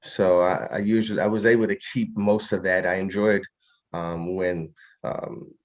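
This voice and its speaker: Deep, even-toned man from New York. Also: normal speed. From New York, of a Trinidadian family. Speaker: guest poet. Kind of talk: reflective interview talk.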